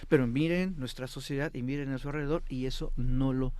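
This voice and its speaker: A man's voice: medium pitch, steady tone, a little fast, darkish tone, clean tone, no room sound. A man speaking as an expert guest in a studio interview, explaining with emphasis and often checking that the listener follows. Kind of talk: interview commentary on politics.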